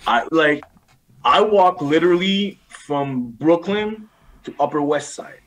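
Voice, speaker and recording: A man chatting casually as a podcast guest, halting and restarting the openings of his phrases. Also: on the high side, animated, slight background noise, poor audio. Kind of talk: casual chat.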